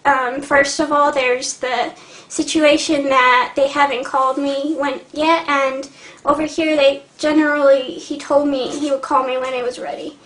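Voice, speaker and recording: A young woman talking to camera in a casual vlog, hesitant and earnest, with many pauses and fillers. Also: sits high, level tone, a bit bright. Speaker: vlogger. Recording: echoey room.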